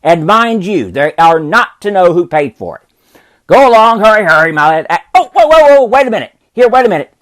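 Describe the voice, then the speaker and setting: A man's voice: sits high, big pitch swings, a little fast, fairly bright tone, voice pushed up. A man voicing an elderly miser who is overjoyed, giddy and cackling as he exclaims. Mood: gleeful.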